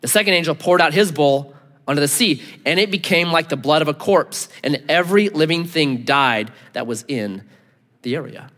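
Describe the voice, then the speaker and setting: A man preaching a sermon as a pastor, earnest and emphatic, dropping to low, intense tones for weight. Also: moderate pace, fairly bright tone. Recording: long hall reverb.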